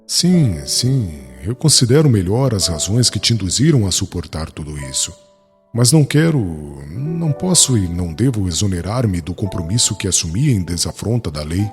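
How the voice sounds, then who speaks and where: A man's voice: on the low side, sing-song, moderate pace. A man playing a proud, wrathful young doctor of the law in a dramatized audiobook, speaking with formal, self-righteous vehemence. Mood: indignant, insistent. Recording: long reverb, music behind.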